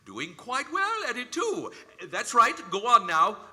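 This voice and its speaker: An actor playing a kindly, playful old Santa-like gentleman in a radio play, encouraging and chuckling, with hesitant, grandfatherly delivery. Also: very high-pitched, huge pitch swings, a little slow, full character voice. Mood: cheerful. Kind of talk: theatrical live radio-play acting.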